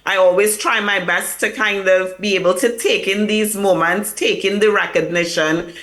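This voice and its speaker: Woman, publicist guest, bright tone, reflective, earnest, remote interview. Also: medium pitch, fairly even intonation, normal speed. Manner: earnest, reflective, many fillers and restarts. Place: hotel or room in New York, remote link.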